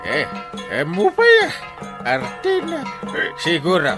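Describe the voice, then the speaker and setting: A man's voice: high-pitched, wildly swooping pitch, takes it slowly, over loud music, full character voice. A man playing a jolly, gluttonous, tipsy village friend in an Ilocano radio comedy, with an Ilocano accent and exaggerated, drawling interjections. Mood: tipsy, teasing.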